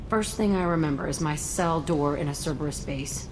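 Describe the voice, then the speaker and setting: A woman's voice: sits low, normal speed, noisy background, lightly acted. An actress playing a blunt, bitter, foul-mouthed young female ex-prisoner in a video game. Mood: bitter, guarded. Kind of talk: video game character dialogue.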